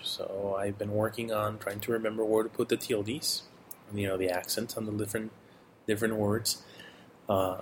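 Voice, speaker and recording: Man, middle-aged, from Mexico, low voice, casual rambling update, fillers, faint noise behind. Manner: relaxed, rambling, with fillers and self-corrections. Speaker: audio blogger.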